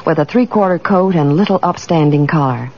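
A woman's voice: low-pitched, fairly quick, slight background noise. A woman delivering scripted advertising dialogue in the style of 1940s radio. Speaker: commercial spokeswoman. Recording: muffled old radio recording.

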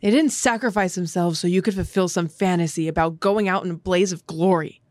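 A man's voice: brisk, no room sound, close to an everyday voice. A man voicing a blunt, sardonic young woman soldier in a raised, dry and mocking tone. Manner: low-key, dry and mocking.

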